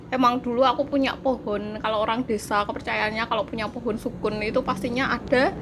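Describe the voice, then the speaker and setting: A woman's voice: on the high side, fairly even intonation, fairly quick, music in the background. A woman, chatting casually and giggling as she tells a spooky story. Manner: giggly, laughs through her story. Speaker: co-host telling ghost stories. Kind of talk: casual chat.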